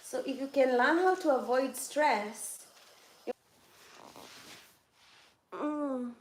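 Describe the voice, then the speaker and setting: A woman's voice: high-pitched, slow pace. A woman hosting a casual livestream from home, chatting and teasing viewers, laughing often. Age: adult over twenty-six. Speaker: livestream host. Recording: echoey room with faint background noise.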